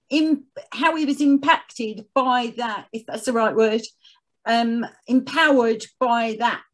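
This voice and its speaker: A woman guest telling stories casually and reflectively, thoughtful and halting as she finds her words. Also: fairly high pitch, fairly bright tone, rough recording. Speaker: podcast guest, charity chief executive. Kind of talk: casual reflective storytelling in an interview.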